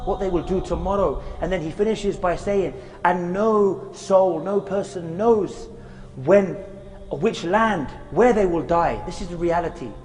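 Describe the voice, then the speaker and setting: A man with a high voice preaches an earnest religious reminder from a graveyard, pressing his listeners and now and then chanting verses. His voice trails off into a long reverb, and soft music plays faintly behind him. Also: unhurried. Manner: earnest, urging, recites Arabic verses in a chanting tone. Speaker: Islamic preacher. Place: outdoors in a graveyard.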